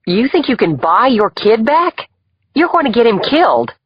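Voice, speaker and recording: A woman's voice: deep voice, a little fast, slightly rough, dry recording, highs cut off. An actress playing a blunt, fiery female federal agent in an audiobook drama. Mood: furious.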